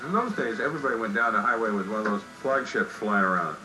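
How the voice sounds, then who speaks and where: A man's voice: on the low side, animated, fast talker, over music, poor audio. An American man reminiscing in a casual, folksy interview style. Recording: interview with clear background noise.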